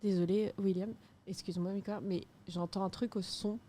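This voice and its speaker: A woman's voice: mid-range voice, steady tone, normal speed, warm tone. Chatty female podcast co-host. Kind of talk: casual interview chat.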